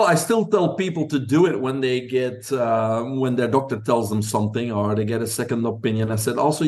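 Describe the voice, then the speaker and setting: A man's voice: fairly low pitch, darkish tone. A middle-aged man from Belgium with a Belgian accent, a podcast guest telling his story in long, confident answers.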